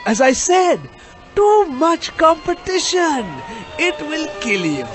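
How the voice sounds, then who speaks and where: An actor playing a gleeful vampire cab driver with an Indian accent and a theatrically polite, menacing manner in a horror-comedy radio drama. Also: male voice, extremely high pitch, sing-song, slow pace, fairly bright tone, faint music behind, exaggerated voice. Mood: gleeful, savoring. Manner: theatrically polite, savoring each menacing phrase.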